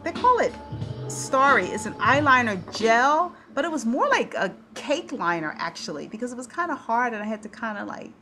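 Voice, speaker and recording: A woman's voice: fairly high pitch, sing-song, moderate pace, sharp tone. A woman in her thirties giving a casual, chatty, informal makeup tutorial as a beauty vlogger. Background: radio music playing in the background.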